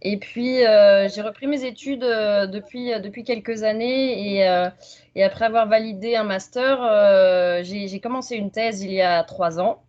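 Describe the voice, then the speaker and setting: Woman in her thirties, medium pitch, hesitant informal science talk, muffled video-call sound. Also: moderate pace, a little mellow. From Franche-Comté, France. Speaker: researcher, doctoral student presenting. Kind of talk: informal online science presentation. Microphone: video call microphone.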